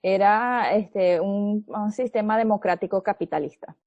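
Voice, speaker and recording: A woman's voice: medium pitch, unhurried, darkish tone, no echo. A woman from Venezuela with a Venezuelan accent, an interviewee speaking hesitantly and carefully. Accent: Venezuelan Spanish. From Maracaibo, Venezuela. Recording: dry, muffled sound.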